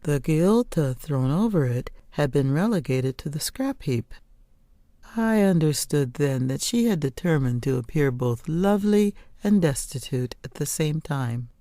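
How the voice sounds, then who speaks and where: A woman's voice: low-pitched, animated, unhurried, warm tone, no echo, clean studio sound. A woman narrating a scripted first-person novel as an audiobook reader.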